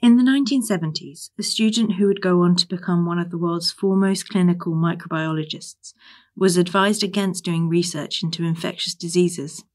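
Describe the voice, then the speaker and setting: Lowish-voiced woman reading a formal essay. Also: fairly even intonation, a little fast, darkish tone. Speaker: reader of a history article. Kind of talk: scripted narration, reading an essay.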